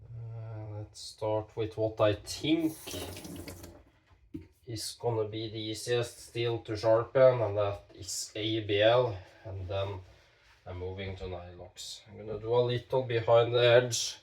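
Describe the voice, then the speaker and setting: A man with a stuffy nose from a cold, talking slowly while demonstrating knife sharpening, with halting pauses and self-corrections. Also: on the low side, fairly even intonation, far from the mic. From Norway. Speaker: knife sharpening channel host. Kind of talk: casual hands-on demonstration.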